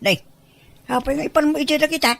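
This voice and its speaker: A woman's voice: sits high, fast, a bit bright, a little hiss or hum. A woman playing a cautious, easily embarrassed older relative in an audio drama, hesitant and mildly scolding.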